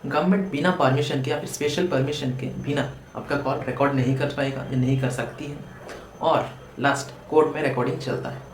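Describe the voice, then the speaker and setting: A man's voice: mid-range voice, steady tone, a little fast. A man with an Indian accent presenting an explainer, speaking directly to viewers and posing questions as he goes. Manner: direct address with rhetorical questions. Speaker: presenter of a legal awareness video. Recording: echoey room with faint background noise.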